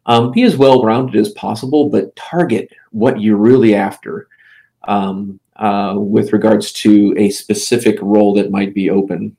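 Man, 50s, game studio head on an interview: gravelly and mellow, pauses to think, lots of "uh" fillers. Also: lowish voice, steady tone. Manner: halting, full of "uh" and "um" fillers. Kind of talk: casual interview answers.

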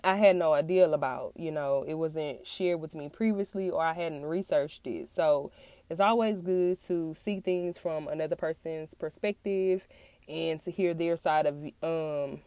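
A woman with a raspy, congested-sounding voice, getting over an illness, talks earnestly and haltingly in a personal monologue to her audience. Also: deep voice, mellow, no echo. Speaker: podcast host and author.

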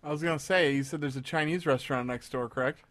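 A man's voice: medium pitch, fast, fairly bright tone. A man joking and teasing as a podcast co-host in casual chat.

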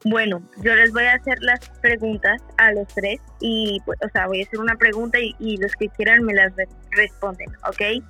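An interview host speaking in a halting way who repeats words of thanks. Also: medium pitch, flat delivery, sharp tone, soft background music. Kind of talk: hosting an interview.

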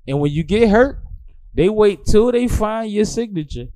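A man, hosting a casual barstool podcast chat, laid-back and swearing freely, with loud laughing asides. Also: high-pitched, expressive, normal speed, dry recording. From Philadelphia. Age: 30s. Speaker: podcast host. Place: small studio.

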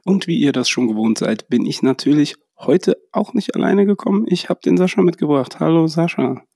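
A man's voice: fairly high pitch, expressive, brisk, dark tone, dry recording, clean studio sound. A man with a cold hosting a casual podcast chat, hesitant and full of fillers. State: has a cold. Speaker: podcast host. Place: holiday house.

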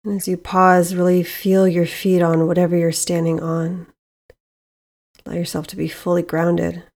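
A woman leading a guided meditation as host, speaking in a soothing, measured way with long pauses. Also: on the low side, monotone, creaky, a little mellow.

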